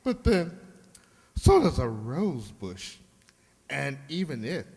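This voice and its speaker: Gushing, swooping theatrical hairdresser. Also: male voice, medium pitch, wildly swooping pitch, takes it slowly, darkish tone, husky, heavily acted. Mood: theatrical, flattering. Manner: effusive, flowery, affected. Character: gushing, theatrical male hairdresser, flattering and two-faced. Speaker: amateur radio-play actor. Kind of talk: comic old-time radio sitcom acting. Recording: echoey hall with long reverb.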